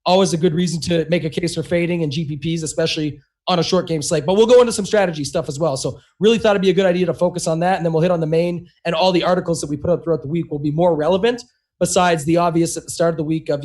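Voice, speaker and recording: A man co-hosting a sports podcast, slightly losing his voice, talking in quick run-on sentences full of "you know". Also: fairly high pitch, fairly even intonation, a little mellow. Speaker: podcast co-host. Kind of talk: casual podcast chat.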